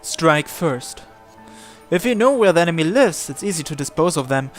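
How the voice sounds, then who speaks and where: A man with a fairly high voice narrates a visual novel in the first person, with music faintly behind him. His delivery is theatrical and deliberate, breaking the story into short dramatic phrases. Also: lively intonation. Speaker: gamer reading a visual novel aloud.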